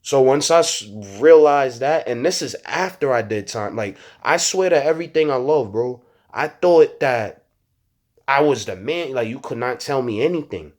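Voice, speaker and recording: A man's voice: mid-range voice, expressive, moderate pace, a little mellow. A young man talking frankly and reflectively to his viewers in street slang, stuttering and restarting his sentences often. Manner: frank street slang, frequent "you know what I'm saying". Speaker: rapper and YouTuber. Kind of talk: casual reflective monologue to viewers.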